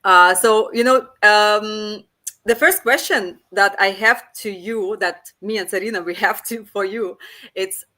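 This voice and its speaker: A woman co-hosting a casual live interview over a video call, speaking haltingly and often repeating her phrases. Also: mid-range voice, pushed and bright. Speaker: co-host.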